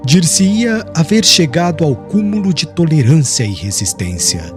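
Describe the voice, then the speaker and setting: Audiobook narrator, male, Brazilian accent: solemn and theatrical, higher-pitched with lively swings, clear music underneath. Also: measured pace. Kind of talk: dramatic scripted literary narration. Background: background music.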